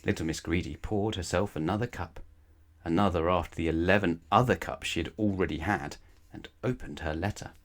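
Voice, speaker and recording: A man reading a children's bedtime story aloud in a playful, emphatic way, addressing the young listener. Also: sits low. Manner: playful, emphatic, drawn-out key words, rhetorical questions to the listener. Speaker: storybook reader.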